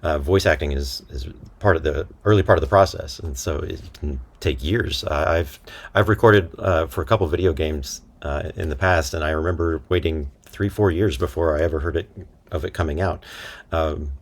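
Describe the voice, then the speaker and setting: Very deep-voiced man chatting in an interview. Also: normal speed. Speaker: interview guest, audio drama creator and voice actor. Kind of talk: casual conversational interview.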